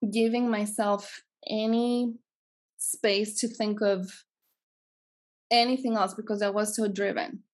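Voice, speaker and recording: A woman of about forty from Greece, with a Greek accent, earnestly telling her life story as a podcast guest and repeating phrases for emphasis. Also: a little high. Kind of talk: personal storytelling in an interview.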